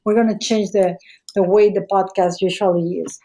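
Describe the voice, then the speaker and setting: A woman's voice: mid-range voice, steady tone, moderate pace, dark tone, poor audio. A middle-aged woman with a Mexican accent and native Spanish, chatting effusively and digressively as she hosts a podcast. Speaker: podcast host. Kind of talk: casual, chatty podcast introduction.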